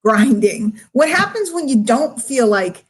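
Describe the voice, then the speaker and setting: A middle-aged woman speaking emotionally and candidly to her online followers, confiding and emphatic, with drawn-out words and pauses. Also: high voice, sing-song. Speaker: online marketer addressing followers. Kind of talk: candid livestream monologue to followers.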